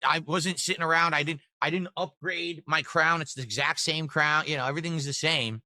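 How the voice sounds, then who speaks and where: Guy streaming, super bright edgy voice, kinda defensive and rambly, dry sound. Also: fairly high pitch, fairly even intonation, fairly quick, no room sound. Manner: rambling, defensive, self-deprecating. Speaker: livestreamer reading tips. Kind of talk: casual stream talk.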